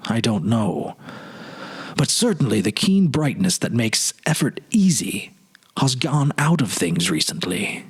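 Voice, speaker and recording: A man playing a weary, haunted politician of forty in a storybook reading, confessing his regrets in a halting, sorrowful, dramatic way. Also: mid-range voice, breathy edge, exaggerated voice. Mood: weary, wistful. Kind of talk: dramatic literary audiobook reading.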